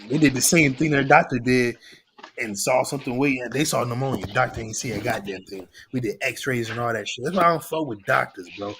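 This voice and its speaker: A man's voice: medium pitch, a little fast, a bit bright. Blunt, slangy man over a noisy mic. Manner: blunt, profane street slang, storytelling. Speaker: podcast guest. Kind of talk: casual podcast chat. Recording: noisy background hiss.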